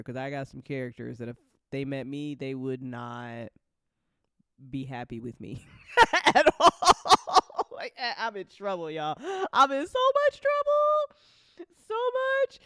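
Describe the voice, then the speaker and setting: A woman hosting a casual chat about writing, talking in an animated, playful way with plenty of swearing. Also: sits low, no room sound, studio quality. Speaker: host of a fantasy audio drama chat show, writer.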